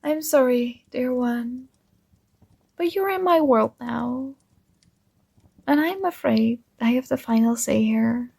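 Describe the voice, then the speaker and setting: A doting yet controlling fantasy princess, acted by a woman, speaks in a high, creaky voice at a very unhurried pace. She coaxes and gently gives orders as in an intimate ASMR roleplay. The sound is close and very dry. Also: no room sound, heavily acted. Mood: pleading, commanding. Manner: softly coaxing, doting, gently commanding. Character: possessive, tender yandere dream princess with magic powers.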